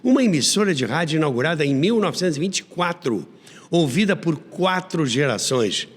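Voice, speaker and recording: A man's voice: fairly high pitch, lively intonation, fairly quick. A Brazilian man hosting a history programme, narrating like a storyteller with emphatic, old-school radio announcer delivery. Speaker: radio history podcast host. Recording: long reverb on voice.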